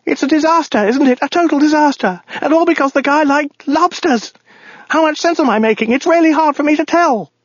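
A man's voice: very high-pitched, a little fast, no echo, muffled recording, voice pushed up. A man voicing a frantic, rambling, obsessive madman, a lone survivor in a comic science fiction audiobook. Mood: frantic, pleading. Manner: hysterical, rambling, obsessive, babbling.

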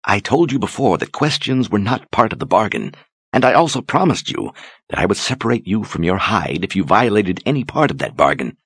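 A man voicing an imperious, impatient sorceress who gives sharp, clipped orders. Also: on the low side, very animated, fairly quick, husky, no echo, voice pushed up, full character voice. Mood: commanding.

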